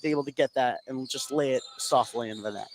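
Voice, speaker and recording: A man's voice: medium pitch, quick pace. American male color commentator in a noisy gym. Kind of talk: live basketball analysis.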